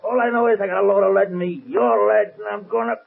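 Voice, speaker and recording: A man's voice: sits high, steady tone, fast talker, vocal fry, exaggerated voice. An actor playing a jittery, vengeful American gangster henchman with slangy tough-guy talk in an old radio crime drama. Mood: wounded, bitter. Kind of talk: 1930s-40s radio crime drama acting. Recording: muffled tinny old radio recording.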